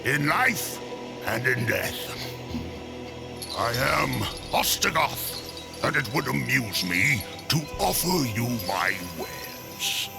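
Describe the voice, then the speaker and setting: A man voicing a sly undead merchant in a video game, unhurried, theatrical and knowing. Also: mid-range voice, big pitch swings, gravelly, full character voice. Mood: wry, brooding. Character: sly undead merchant, last of a burned race. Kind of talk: video game cutscene acting. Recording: loud music under the voice.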